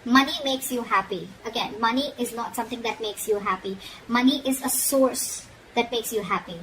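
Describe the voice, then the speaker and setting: A woman's voice: a little high, normal speed, fairly bright tone, low-quality recording. A woman giving an informal, frank tarot reading, speaking directly to the listener and often checking in as she admonishes. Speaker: tarot reader. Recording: noticeable background noise.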